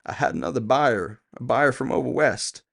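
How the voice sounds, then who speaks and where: A man's voice: medium pitch, animated, moderate pace, creaky, a bit bright, pitched above the performer's own voice. A man voicing a nervous, defensive male thief on the run, slangy and agitated, in an audio drama.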